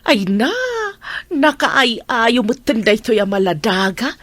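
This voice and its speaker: A woman's voice: a little high, slow pace, a bit bright, no echo. A woman with an Ilocano accent acting a chatty, doting, meddling mother in a radio drama, fussing expressively. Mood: chatty, nosy.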